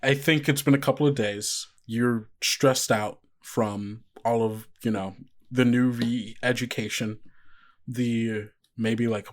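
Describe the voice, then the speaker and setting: A man's voice: mid-range voice, steady tone, slow pace. A man running a tabletop horror game, narrating improvised scenes conversationally and often putting questions to his player. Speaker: game master.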